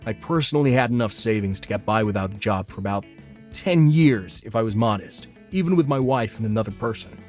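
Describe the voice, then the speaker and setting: A man's voice: lowish voice, a little fast, a little mellow, soft background music, natural voice. A man narrating a scripted first-person story as a married man who lost his job. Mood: matter-of-fact.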